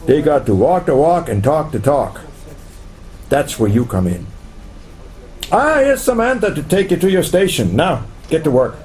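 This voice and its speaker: Radio play role: domineering male tycoon, acted by a man, demanding, dark-toned, highly animated intonation. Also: moderate pace. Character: brash, domineering male corporate boss, gleeful and demanding. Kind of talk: scripted radio play acting.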